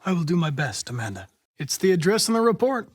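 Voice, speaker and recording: A man's voice: a little high, sing-song, fast talker, husky. A man playing a polite, precise young male android detective in a dramatic video game. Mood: subdued, regretful. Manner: precise, formal, clipped. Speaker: police investigator android.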